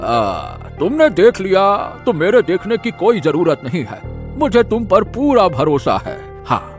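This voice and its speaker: A man's voice: high-pitched, huge pitch swings, a little slow, faint music behind, full character voice. A man playing a trusting, fatherly elderly factory owner in a cartoon story. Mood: kindly, trusting. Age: older.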